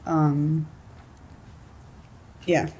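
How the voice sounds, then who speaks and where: A woman in her twenties from California, chatting casually in an interview, relaxed and giggly with lots of filler words and a low voice. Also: extremely slow, a little hiss or hum, poor audio. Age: 20s.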